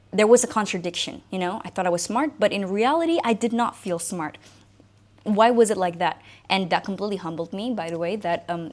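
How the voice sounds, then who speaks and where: A woman in her thirties from Indonesia, whose first language is Indonesian, speaking as an English coach who tells her own story to the camera in a reflective, conversational way. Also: medium pitch. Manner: conversational, reflective, frequent "you know" and "like". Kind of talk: personal talk to camera.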